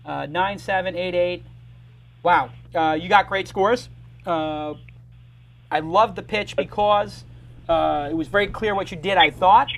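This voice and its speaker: A middle-aged man hosting a live startup show, joking and talking fast in bursts. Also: high voice, creaky, fairly bright tone, poor audio. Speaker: podcast host.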